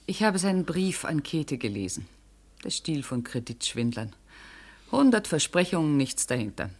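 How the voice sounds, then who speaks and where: A woman's voice: low voice, big pitch swings, normal speed, darkish tone, heavily acted. An actress playing a composed, guarded, self-assured woman under questioning in a German radio play, formal, evasive and insistent.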